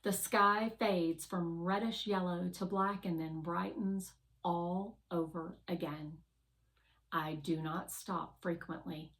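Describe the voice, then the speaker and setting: A woman narrating a children's novel aloud in an expressive, deliberate read-aloud style. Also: mid-range voice.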